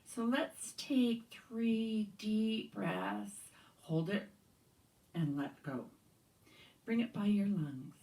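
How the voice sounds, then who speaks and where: A soft-spoken woman leading a gentle yoga practice, guiding breaths calmly and sometimes dropping to a whisper. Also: mid-range voice, distant mic, low-quality recording. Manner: slow, calm guiding with whispered cues. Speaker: yoga teacher. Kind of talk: guided yoga instruction. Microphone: handheld or clip mic.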